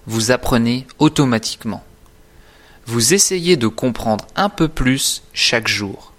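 Slow, deliberate male teacher. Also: mid-range voice, fairly bright tone. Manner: deliberate, carefully articulated, didactic. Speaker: French teacher presenting own course. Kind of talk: scripted instructional narration.

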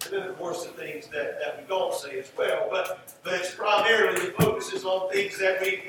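A man delivers a sermon in a high-pitched voice that rings heavily around the room. He is picked up from a distance from the mic, with music in the recording. His preaching is emphatic, leaning on repeated phrases. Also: steady tone, moderate pace, noisy background. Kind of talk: sermon with scripture teaching and prayer. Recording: roomy echoing hall, distant mic, music.

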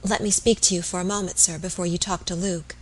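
A woman's voice: lowish voice, steady tone, fast, a little mellow, breathy edge. A woman voicing an anxious, deferential young wife in an audiobook, speaking eagerly and earnestly. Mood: urgent. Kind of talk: audiobook character voice.

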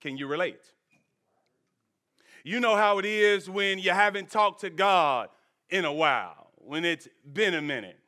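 A man's voice: sits high, expressive, a little slow, pushed and bright. A man preaching a sermon as pastor, folksy and joking, with laughter and asides to the congregation in a church sanctuary.

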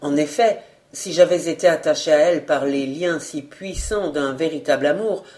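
A woman reader voicing a sincere, kindly young male journalist in an audiobook, speaking in a measured, formal way. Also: deep voice, dark tone, pitched below the performer's own voice.

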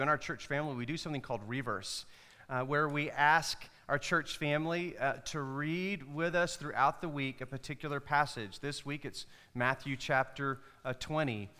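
A man's voice: mid-range voice, smooth. A man, an associate pastor, interviewing church members on stage during a worship service with a stage microphone. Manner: friendly, gently prompting questions. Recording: long hall reverb from large room.

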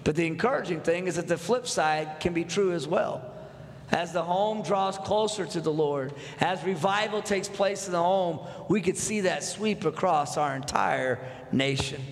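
A man's voice: high-pitched, moderate pace. A pastor in his thirties from North Texas with a Texan accent, preaching a sermon earnestly, building with repeated phrases and asking the congregation questions. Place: church sanctuary. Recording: echoey hall with long reverb.

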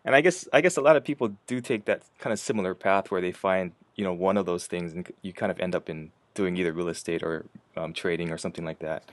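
Low-pitched man interviewing, dry sound. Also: quick pace, few pauses, dry recording. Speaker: interviewer, host. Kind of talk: casual interview.

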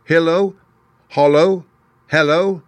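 A man's voice: a little high, fairly even intonation, slow pace, no room sound, voice pushed up. A man voicing an earnest young girl on a journey in a storybook reading. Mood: reciting carefully.

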